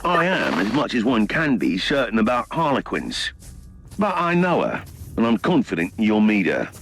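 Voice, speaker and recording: A man playing a composed, knowing Inquisitor in a video game, speaking in a measured, dryly wry and articulate way. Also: lowish voice, wildly swooping pitch, a bit bright, slight background noise, heavily acted. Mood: dryly assured. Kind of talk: dramatic video game dialogue. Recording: music behind the voice.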